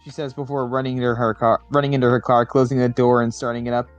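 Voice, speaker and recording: A man's voice: mid-range voice, flat delivery, fast talker, highs cut off, soft background music. A man doing a casual amateur reading of a story, repeating and correcting misread words as he goes. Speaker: reader of fan fiction on a livestream.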